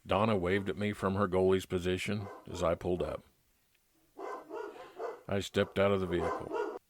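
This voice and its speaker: A man's voice: low voice, flat delivery, normal speed, background noise. A man narrating a scripted first-person detective story as a private investigator, in old-time radio style.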